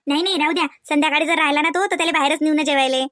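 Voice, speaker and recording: A woman's voice: high-pitched, steady tone, rapid-fire, fairly bright tone, dry recording, studio quality. A woman voicing an affectionate, chatty aunt and housewife in a comedy cartoon, with a homely, gushing manner. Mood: cheerful, busy.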